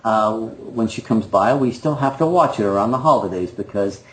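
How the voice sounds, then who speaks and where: A man's voice: lowish voice, animated, moderate pace, a little hiss or hum, poor audio. A man in his fifties co-hosting a bowling podcast in casual, joking, self-deprecating chat. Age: 50s. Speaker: podcast co-host.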